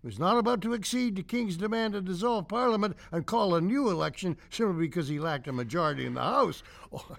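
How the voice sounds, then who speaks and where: An actor playing a polite, bookish, fussy office worker in a satirical audio drama, speaking in a mannered, halting way. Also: male voice, sits high, expressive, vocal fry, a bit bright, full character voice. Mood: earnest, lecturing.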